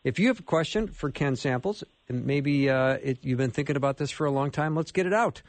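A man's voice: medium pitch, fairly quick, no echo. American male radio host, dry studio sound. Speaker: radio call-in show host. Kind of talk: casual live radio hosting.

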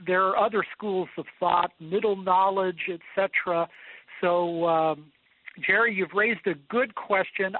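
A man giving measured, thoughtful explanations as a guest scholar on a radio show, pausing as he works through answers. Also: sits high, no room sound. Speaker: guest philosopher and theologian. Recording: phone-like narrowband radio line.

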